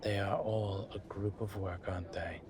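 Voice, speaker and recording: A man playing a wry, laid-back incubus demon professor in a roleplay audio drama, talking with breathy pauses and trailing sentences. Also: lowish voice, steady tone, a crowd in the background, natural voice. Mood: amused, teasing. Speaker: voice actor. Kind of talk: roleplay audio drama, one side of a conversation.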